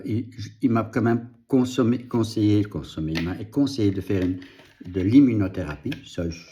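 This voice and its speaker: A middle-aged man whose first language is Dutch, speaking French slowly and reflectively in a personal video update, pausing and restarting, audibly moved. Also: lowish voice, dark tone. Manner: slow, reflective, halting with restarts. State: moved, emotional. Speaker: vlogger and author.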